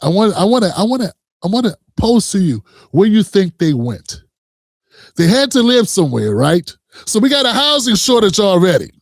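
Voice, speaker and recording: High-voiced man ranting in commentary. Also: big pitch swings, normal speed, no room sound, clean studio sound. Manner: heated, emphatic, profane ranting; addresses viewers directly. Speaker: commentary host. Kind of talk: reaction commentary.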